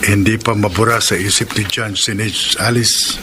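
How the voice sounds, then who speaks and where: A man's voice: lowish voice, steady tone, normal speed, noisy background, natural voice. An actor playing a concerned, reassuring male friend in a radio drama, with a Filipino accent. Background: music.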